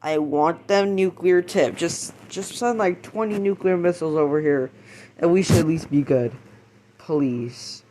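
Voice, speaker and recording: A man's voice: on the high side, noisy background, close to an everyday voice. Man acting as desperate pleading government official. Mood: insistent. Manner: pleading, halting, insistent.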